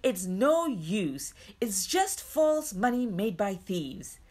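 A woman's voice: fairly high pitch, very animated, normal speed, husky, pitched above the performer's own voice. A woman voicing a scornful, impatient man in a children's storybook, brusque and dismissive. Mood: disgusted.

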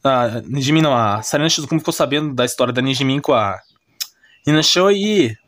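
A man's voice: mid-range voice, lively intonation, a little fast, fairly bright tone, dry recording, clean studio sound. A man with a Brazilian accent casually retelling an anime story off the cuff, rambling and slangy, often correcting himself. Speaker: YouTube anime recapper.

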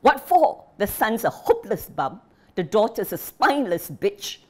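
A woman voicing a bitter, furious old father who shouts and scolds his family. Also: mid-range voice, very animated, measured pace, pitched above the performer's own voice. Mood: raging. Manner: shouting, scolding, jabbing repetition.

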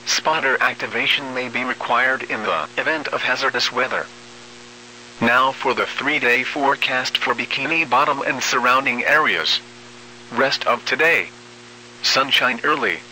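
A synthetic male announcer reads a weather bulletin in a formal, impersonal manner. The voice is very bright and sharp, at a middling pitch, with a measured delivery. A faint hum sits under it, like weather radio. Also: rough recording. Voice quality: synthetic text-to-speech voice. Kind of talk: automated weather bulletin reading.

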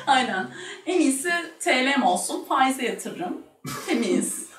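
Woman, twenties, lively intonation, casual talk, roomy sound. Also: high-pitched, slow, distant mic. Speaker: guest. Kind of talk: casual chat, game-show answers. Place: small indoor studio set with curtain. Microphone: single table microphone at a distance. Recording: roomy, voice away from the mic.

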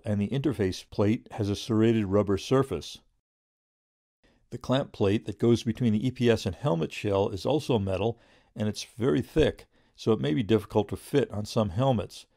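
A man with a low, relaxed voice, recorded close and dry. He narrates a product review in measured, informative sentences, like a scripted how-to. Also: vocal fry, no echo.

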